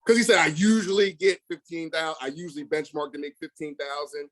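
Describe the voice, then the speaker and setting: A man's voice: fairly high pitch, fairly even intonation, a little fast, a bit bright. A man talking casually and bluntly, full of slang and emphasis, acting out past conversations in quotes as he tells stories. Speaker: real estate investor.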